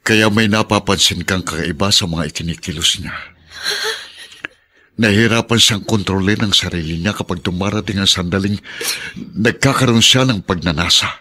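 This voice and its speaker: A man's voice: deep voice, moderate pace, sharp tone, slightly rough, full character voice. An actor with a Filipino accent playing a grave, weary middle-aged father in a radio drama. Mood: grave, resigned. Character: grave, weary father, burdened by family shame.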